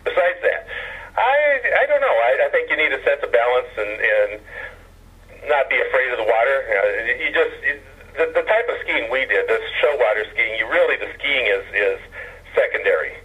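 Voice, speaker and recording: A man from Hawaii, a columnist guesting on a radio show, telling stories with deadpan, self-mocking humor. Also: medium pitch, brisk, husky. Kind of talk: phone-in radio interview. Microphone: telephone. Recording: tinny phone line.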